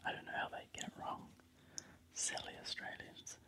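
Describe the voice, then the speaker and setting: A man with a New Zealand accent, whispering softly in ASMR style with frequent ums. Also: normal speed, no room sound.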